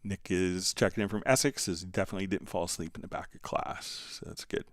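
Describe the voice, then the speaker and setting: A man's voice: low voice, steady tone, fast talker, dry recording, studio quality. A man hosting a live question-and-answer stream, talking casually and explaining things in an informal, rambling way with asides. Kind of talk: casual unscripted Q&A talk, reading out viewer questions.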